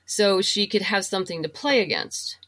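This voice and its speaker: A woman's voice: medium pitch, animated, normal speed, a bit bright. A woman talking casually and enthusiastically as a guest in an interview, in a chatty way. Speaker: guest, writer and audio drama producer.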